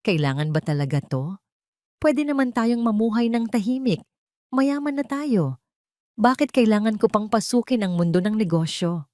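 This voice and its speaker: A woman's voice: mid-range voice, animated, moderate pace, darkish tone, no room sound, studio quality, pitched above the performer's own voice, natural voice. A woman playing a weary, anxious young mother with a pleading manner in an audio drama.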